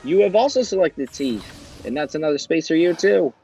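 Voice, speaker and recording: A man's voice: a little high, expressive, moderate pace, mellow, soft background music, rough recording. A young man hosting a fan-made quiz show, reading out questions and answer choices in an upbeat quizmaster manner.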